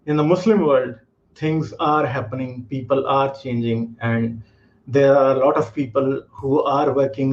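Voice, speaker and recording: A man's voice: mid-range voice, a little mellow. A man from Pakistan, calling in and explaining his views earnestly, with many fillers. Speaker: caller. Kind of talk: call-in discussion. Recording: muffled lo-fi call audio.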